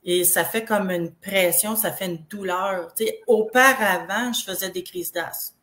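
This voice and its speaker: A woman with a Québécois accent who is fighting a cold, and her voice sounds congested. She speaks at an ordinary pitch in a casual, rambling way. She often stops and restarts her sentences. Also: fairly bright tone. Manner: rambling, halting, full of restarts. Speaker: guest sharing product testimonial. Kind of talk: casual live chat.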